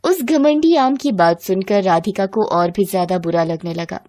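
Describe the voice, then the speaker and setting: A woman's voice: medium pitch, normal speed, mellow. A woman storyteller reading scripted narration for a moral bedtime tale.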